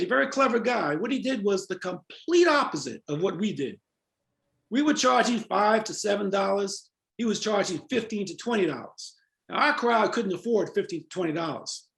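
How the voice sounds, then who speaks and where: An older man with an American accent reminisces in conversational interview style. His voice sits high and has a gravelly fry to it. The recording is roomy and echoing. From Jamaica-born, raised in New York. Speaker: interview guest, former club promoter.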